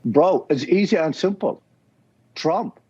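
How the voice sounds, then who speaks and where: A man's voice: on the high side, animated, slow pace, warm tone, breathy edge. A man with an Iraqi accent, speaking earnestly and passionately as an interview guest in simple, broken phrasing. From Iraq. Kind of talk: video interview. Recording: dull lo-fi recording.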